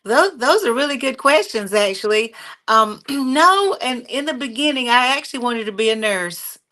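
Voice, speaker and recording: Woman guest singer, bright tone, easy anecdotal delivery. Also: fairly high pitch, lively intonation, moderate pace. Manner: warm storytelling with fillers and small laughs. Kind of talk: casual interview conversation.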